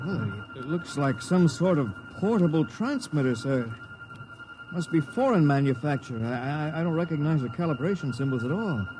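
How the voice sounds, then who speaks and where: A man's voice: on the high side, lively intonation, normal speed, warm tone, faint music behind, close to an everyday voice. An actor playing an anxious, dutiful junior starship officer in a 1950s radio drama, earnest and deferential to his captain. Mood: puzzled, nervous. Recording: very noisy old radio broadcast recording.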